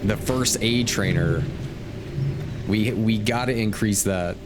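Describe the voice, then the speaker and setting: A man's voice: on the low side, takes it slowly, background noise. A man giving casual, laid-back gameplay commentary as he hosts a stream, thinking aloud and reading out quest text. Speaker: game livestream host. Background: game music.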